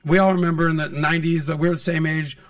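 A man in his forties hosting a casual podcast, telling stories in a blunt, animated, profane way. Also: fairly high pitch, quick pace, far from the mic. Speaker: podcast host.

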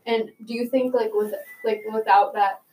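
A woman's voice: on the high side, steady tone, moderate pace, dark tone. A young woman hosting a podcast, asking hesitant, searching questions in a casual interview. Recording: roomy, distant, faint noise.

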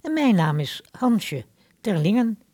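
Female announcer for radio-play credits: mellow, husky, very dry studio sound. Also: mid-range voice, sing-song, a little slow, no room sound. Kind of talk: scripted radio drama credits.

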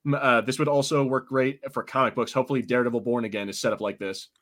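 A man's voice: medium pitch, steady tone, fast, fairly bright tone. A man co-hosting a podcast, chatting casually and informally about TV, with some swearing. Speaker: podcast co-host. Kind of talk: casual TV review chat.

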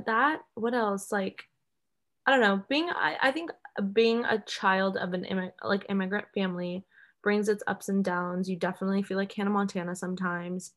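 A woman's voice: mid-range voice, a bit bright. A young woman co-hosting a podcast, talking in a chatty, filler-heavy way with frequent giggles. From United States. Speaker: podcast co-host. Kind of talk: casual chat.

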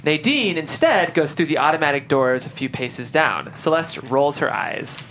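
A woman's voice: low voice, big pitch swings, moderate pace, a bit bright, slight background noise. A woman reading stage directions as scripted narration at a table read.

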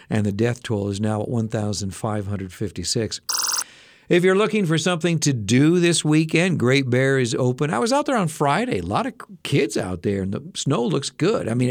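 A man hosting a casual daily news briefing, slightly hoarse and adding personal asides to the headlines. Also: medium pitch, no room sound. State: slightly hoarse, losing his voice. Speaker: radio news briefing host.

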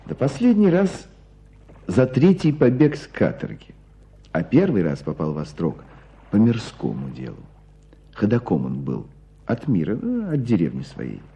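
A man acting in a radio play as a knowing hunter who tells a story with deliberate emphasis. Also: lowish voice, wildly swooping pitch, creaky, warm tone, breathy edge, full character voice. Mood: animated storytelling. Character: knowing male hunter recounting an old court case. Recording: dull, muffled radio play sound.

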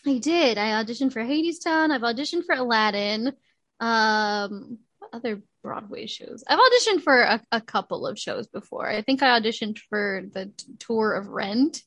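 A young woman co-hosting a casual podcast chat, animated, laughing often and acting out quotes. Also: a little high, moderate pace, dry recording. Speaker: podcast co-host.

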